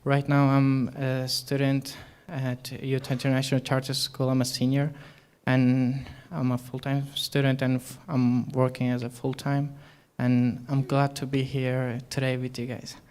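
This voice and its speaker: A nineteen-year-old man from Afghanistan, a high-school senior, giving a brief, earnest introduction in simple non-native English. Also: completely flat delivery, measured pace, a little mellow, clear, resonant tone. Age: teens. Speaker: student panel speaker.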